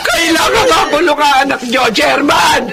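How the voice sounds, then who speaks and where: A woman acting an outraged middle-aged mother in a Filipino radio drama, strident, shouting and tearful as she demands justice. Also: high-pitched, normal speed, gravelly. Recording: heavy noise behind.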